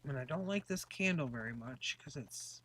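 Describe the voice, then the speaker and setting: A woman's voice: very deep voice, expressive, normal speed, fairly bright tone, breathy edge, rough recording. A woman doing a casual live-stream tarot reading, chatty and rambling with many fillers. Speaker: tarot reader.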